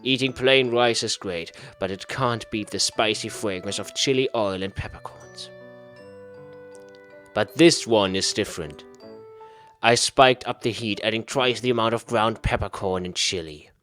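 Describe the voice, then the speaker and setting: A man's voice: lowish voice, a little slow, a bit bright, faint music behind. A man reading a visual novel aloud in a theatrical, mock-serious way as a gaming host. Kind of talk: dramatic read-aloud narration of a visual novel.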